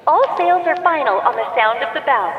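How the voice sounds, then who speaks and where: A woman's voice: high voice, animated, a little fast, a little hiss or hum. A woman playing a stern, blunt public-address announcer at a space trade plaza in a comedy audio drama. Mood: officious, blunt. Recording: echoey reverberant hall, muffled public-address sound.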